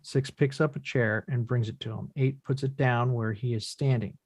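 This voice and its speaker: A man narrates the action of a script at a table read. His voice is medium in pitch and matter-of-fact, with a dry, echo-free sound. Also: fairly even intonation, normal speed, darkish tone. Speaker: reader of stage directions.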